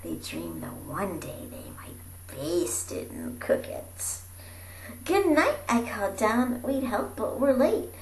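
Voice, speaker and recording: A woman reading a rhyming picture book aloud in a theatrical, animated way, moving between hushed and projected delivery. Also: medium pitch, slow. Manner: theatrical, dropping into breathy whispers and calling out. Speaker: amateur storybook reader. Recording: roomy echo with faint background noise.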